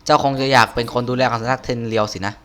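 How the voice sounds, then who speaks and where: A man voicing a stern, imperious female shogun from a game, reading formal lines haltingly. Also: mid-range voice, steady tone, a bit bright, natural voice. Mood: stern, challenging. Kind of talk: reading game dialogue aloud.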